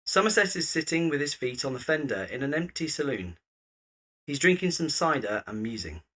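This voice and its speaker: Bright-toned male narrator. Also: a little high, very animated, fairly quick. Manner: descriptive, present-tense stage directions. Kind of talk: scripted audio drama narration.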